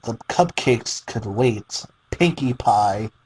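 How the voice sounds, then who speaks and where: A man's voice: medium pitch, lively intonation, takes it slowly, a little mellow, a little hiss or hum, low-quality recording. Guy doing a dramatic storytime reading of fanfic, theatrical, normal mid-range voice. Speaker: fan fiction reader.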